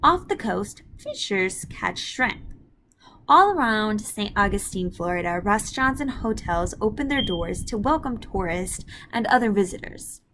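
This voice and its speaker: Casting: female elementary teacher, mid-pitched, instructive, reading aloud and explaining to young pupils over slight background noise. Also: sing-song, unhurried, fairly bright tone, low-quality recording. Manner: explanatory, instructive, addressing children directly. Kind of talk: read-aloud reading lesson for children.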